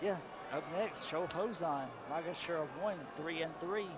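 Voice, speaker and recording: A tired man co-commentating sumo casually, with dry, deadpan humor. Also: a little high, moderate pace, a loud crowd, heavy background noise.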